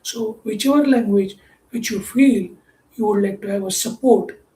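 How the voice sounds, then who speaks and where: A man with an Indian English accent, whose first language is Marathi, coaches his listeners in an earnest, repetitive way. His voice carries a clear room echo over a faint ambient background, as if he recorded himself in a bare room. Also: sits high, moderate pace, dark tone, a little hiss or hum. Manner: earnest, repetitive phrasing, often says "could be". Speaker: mindset coach. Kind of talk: motivational teaching talk.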